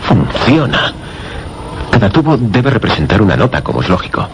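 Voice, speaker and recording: A rational but puzzled researcher with a very low Castilian voice. He reasons aloud hesitantly in a suspense radio drama, over clearly noisy background ambience. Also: big pitch swings, normal speed. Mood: curious. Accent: Castilian Spanish. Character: puzzled, rational male researcher, narrator's companion.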